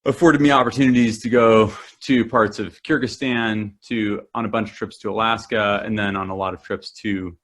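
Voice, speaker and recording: A man's voice: on the low side, fairly even intonation, moderate pace, hardly any pauses, fairly bright tone. A man from New Zealand presenting a climbing talk, enthusiastic and full of fillers and restarts. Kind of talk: presentation to a club audience.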